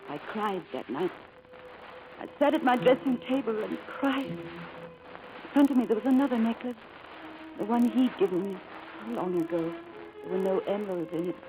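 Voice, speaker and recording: An actress playing a poised, quietly lovelorn society wife with a restrained, refined manner in a 1940s radio drama. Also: female voice, medium pitch, animated, moderate pace, pauses often, close to an everyday voice, low-quality recording. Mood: wistful. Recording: vintage radio broadcast with music.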